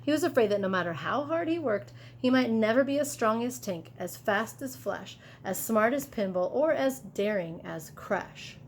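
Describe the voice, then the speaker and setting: A woman teacher reading a picture book aloud to children, chatty and animated, with casual asides about the pictures. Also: fairly high pitch, normal speed, few pauses, slight background noise.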